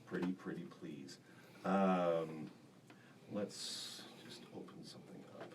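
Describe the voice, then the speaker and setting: A deep-voiced man teaching a class in a school radio studio, explaining casually with plenty of fillers. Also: fairly even intonation, slow pace. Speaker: broadcasting instructor. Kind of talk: classroom demonstration. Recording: distant mic with faint room hiss.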